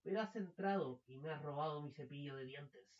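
A man's voice: fairly high pitch, brisk, dull audio, close to an everyday voice. A young man, a student actor, playing a fussy, weary husband who grows sinister in an amateur radio drama. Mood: irritable. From Chile. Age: young adult.

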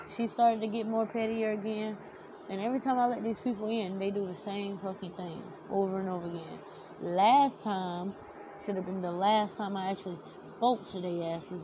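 A young woman with a slightly nasal, teary-sounding voice, ranting heatedly to camera with blunt, heavy swearing. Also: mid-range voice. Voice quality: nasal, like about to cry. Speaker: vlogger. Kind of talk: storytime rant to camera. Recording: noticeable background noise.

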